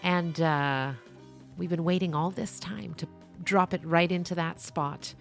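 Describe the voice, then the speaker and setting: A woman with a fairly low voice presents an audio story podcast. She speaks as an expressive storyteller addressing her listeners. Soft music sits behind her voice. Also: a little slow, gravelly. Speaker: writer, producer and narrator of a story podcast.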